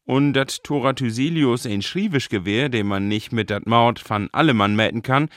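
A man's voice: medium pitch, moderate pace, a bit bright, dry recording, studio quality. A man with a northern German accent narrating a scripted radio feature as its reporter.

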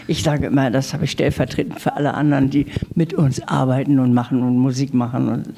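A woman's voice: rumbling low, level tone, fairly quick, vocal fry, warm tone. An older woman giving a casual guided tour as a guest, recorded inside a house. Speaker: interview guest, audio drama director. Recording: music behind, on-location tour recording. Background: musical instruments being played.

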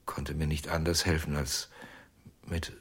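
An actor playing a haunted, obsessive ventriloquist in a German radio drama, speaking hesitantly with many pauses. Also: male voice, extremely low pitch, little pitch movement, a bit bright, breathy edge, exaggerated voice. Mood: confessional. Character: haunted, obsessive male ventriloquist, middle-aged. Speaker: ventriloquist under questioning.

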